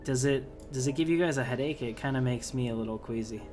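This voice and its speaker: A man's voice: mid-range voice, quick pace, darkish tone. Male let's play host, mid-range voice, casual rambling commentary, faint game music. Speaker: gaming video host.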